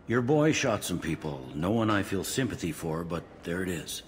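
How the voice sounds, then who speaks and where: An actor playing a gruff, world-weary police captain in a video game, talking bluntly and wryly in everyday slang. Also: male voice, sits low, expressive, normal speed. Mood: weary, skeptical. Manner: blunt, colloquial, wry. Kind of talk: video game character dialogue. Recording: long hall reverb, faint background noise.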